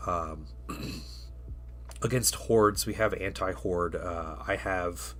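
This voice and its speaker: A man's voice: deep voice, extremely slow, a bit bright, a little hiss or hum. Stuffy-sounding man chatting on stream. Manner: rambling, many fillers and restarts. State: stuffy, getting over a cold. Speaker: streamer painting miniatures. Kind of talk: casual live-stream chat.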